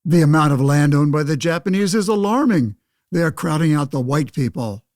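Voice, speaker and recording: A man acts out a 1911 senator for a radio play, a self-righteous windbag with a rather high voice. He orates in formal, old-fashioned phrases, pompous and sure of himself. The sound is very dry. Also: lively intonation, a little fast, darkish tone, no echo, lightly acted. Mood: proud, assured. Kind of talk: pseudo-radio play interview and oratory.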